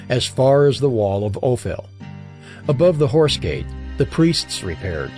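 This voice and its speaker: Expressive solemn male scripture narrator. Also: medium pitch. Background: background music.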